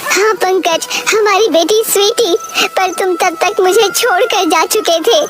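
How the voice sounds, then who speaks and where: A woman's voice: a little slow, background noise. A woman doing a grieving ghost woman. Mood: bitter. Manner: grieving, accusing, low and heavy. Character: vengeful female ghost haunting a well. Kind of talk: animated storybook voice acting.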